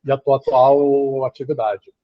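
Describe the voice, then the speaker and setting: A man's voice: medium pitch, flat delivery, a little slow, no room sound, muffled recording. A man moderating a panel, casually handing the floor to the next guest. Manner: casual, informal.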